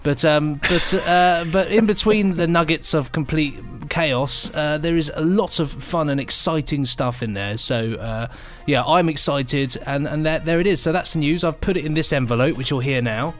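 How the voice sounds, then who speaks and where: A man's voice: a little high, normal speed, few pauses, soft background music. A British man co-hosting a podcast in casual, rambling banter, chatty, jokey and self-mocking. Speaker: podcast co-host.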